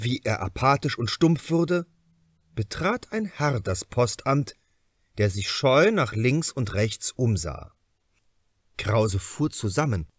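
A man reading a crime novel as an audiobook narrator, in a measured, expressive way with deliberate pauses. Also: mid-range voice, dry recording, studio quality.

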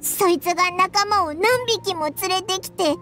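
A woman voicing a small, childlike fairy companion in an anime game, cocky and greedy, with squeaky, rough boyish speech. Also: normal speed, music in the background. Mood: lively storytelling. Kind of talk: Japanese anime game acting.

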